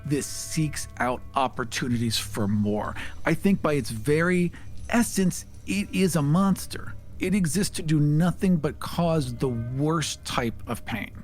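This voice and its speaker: A man's voice: fairly high pitch, a little slow, soft background music. A man hosting a casual podcast chat about tabletop games, talking in an earnest, emphatic way.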